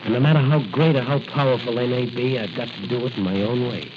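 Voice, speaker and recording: Casting: male actor for earnest heroic cop, mid-pitched and resolute; vintage radio drama with clear noise. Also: fairly even intonation, quick pace, lightly acted. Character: earnest, determined policeman who is a masked crime-fighting hero. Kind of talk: 1940s radio acting. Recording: noisy, dull 1940s radio recording.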